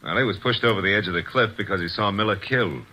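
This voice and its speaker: A man's voice: on the low side, sharp tone, slight background noise, low-quality recording. An actor playing a brash, wisecracking male assistant detective in a vintage radio drama, breezy and slangy. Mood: wry. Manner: breezy, slangy, quick with quips. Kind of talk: 1940s radio acting.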